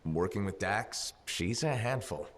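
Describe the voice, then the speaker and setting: A wry freelancer with a low, lively voice. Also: male voice, a little slow, a little hiss or hum. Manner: dry, sarcastic quips. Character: wry male freelancer pilot, young adult. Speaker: freelancer, player character.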